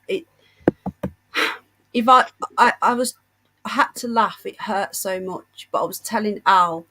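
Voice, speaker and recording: A woman with a mid-pitched voice hosts a casual livestream. She talks as if passing on outrageous gossip, with indignation running through it. She is unwell with a sore chest as she talks. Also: fairly bright tone, low-quality recording. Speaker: livestream host.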